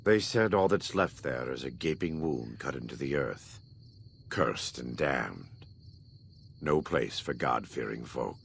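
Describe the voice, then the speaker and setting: A man playing a grave, devout, burn-scarred former war leader in a video game, speaking in a solemn, measured, preacherly way with a deep gravelly voice. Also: animated, slight background noise, full character voice. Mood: ominous. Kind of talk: video game dialogue acting.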